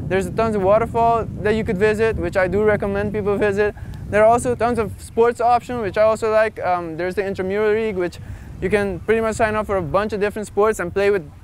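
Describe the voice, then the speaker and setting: A young man from Aruba talks fast and eagerly about sports and hiking. His voice is high for a man. A faint outdoor rumble sits behind him. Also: fairly even intonation, low-quality recording. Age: young adult. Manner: enthusiastic, easy. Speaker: international student. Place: outdoors on campus.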